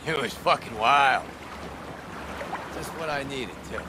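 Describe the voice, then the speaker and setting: A man's voice: medium pitch, slow pace, noisy background, natural voice. A man playing a brash, hedonistic middle-aged rock star in a video game, swaggering, playful and profane. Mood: wry, intimate.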